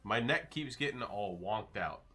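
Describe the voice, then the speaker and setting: A man's voice: on the low side, animated, a little slow, pushed and bright, soft background music. A man streaming live, casually reading and answering his chat with loose, joking replies.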